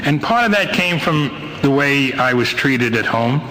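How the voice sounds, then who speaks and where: An older man with a New York accent giving a rambling, halting, wryly humorous personal testimony to a meeting, on a noisy old amateur tape. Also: medium pitch, expressive. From Brooklyn, New York, United States. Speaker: guest speaker at a recovery meeting. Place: meeting hall, speaking to a group.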